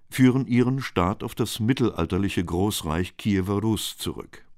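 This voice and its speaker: A man reading scripted documentary narration in a measured, even way. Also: sits low, no room sound, studio quality.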